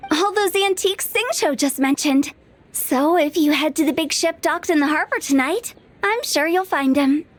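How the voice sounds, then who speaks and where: A woman playing a playful, mischievous teenage girl with a teasing, animated manner in an anime video game. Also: high voice, normal speed, a little hiss or hum, close to an everyday voice. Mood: breezy.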